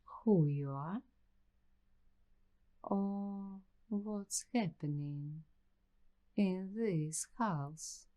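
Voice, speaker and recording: A woman performing an ASMR roleplay as a paranoid young waitress, speaking in a secretive, deliberate hush that drifts into whispers. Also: mid-range voice. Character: paranoid young waitress investigating a creepy attic mystery. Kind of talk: ASMR roleplay, slow scripted monologue.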